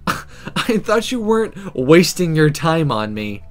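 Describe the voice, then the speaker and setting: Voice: medium pitch, sing-song, slow pace. A game player as a defensive, flustered country girl. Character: defensive, easily flustered country girl, new magic student.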